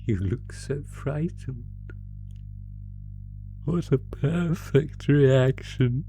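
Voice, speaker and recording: A man's voice: medium pitch, drawn-out words, gravelly, warm tone, soft background music. A man voicing a sadistic, predatory monster from under the bed in a horror audio roleplay, taunting the listener with mock-sweet, savoring menace. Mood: sinister, anticipating.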